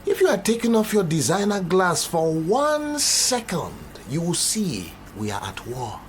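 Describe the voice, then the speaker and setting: An actor playing a wily, menacing Nigerian fixer in a radio drama, speaking theatrically with a Nigerian accent and pidgin turns of phrase. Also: male voice, sits high, very animated, unhurried, slight background noise. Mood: brooding, menacing. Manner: pidgin English, theatrical, sly and taunting. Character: wily, menacing Nigerian male fixer who acts as the law.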